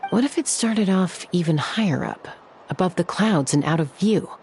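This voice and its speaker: A woman playing a composed, confident young flying expert in a video game, speaking in a measured way. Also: on the low side, lively intonation, darkish tone, a little hiss or hum. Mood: thoughtful. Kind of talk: video game character dialogue.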